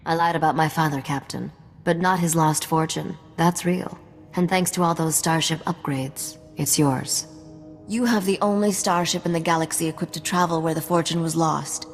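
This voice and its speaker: Cool, low-voiced young schemer. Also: female voice, steady tone, moderate pace, in a large hall, soft background music. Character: cool, confident young woman, crime lord's daughter. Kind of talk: video game character acting.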